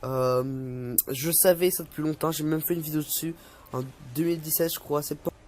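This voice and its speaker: A man's voice: on the high side, fairly even intonation, moderate pace, a little mellow. A young man vlogging casually, reading an article aloud and stumbling over words, with many fillers. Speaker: youtuber.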